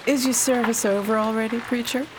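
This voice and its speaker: A woman's voice: a little high, steady tone, a little fast, lightly acted. A woman playing a brash, slangy, streetwise good-time girl in trouble, in a period radio drama. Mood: wry, uneasy. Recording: faint rain-like background noise.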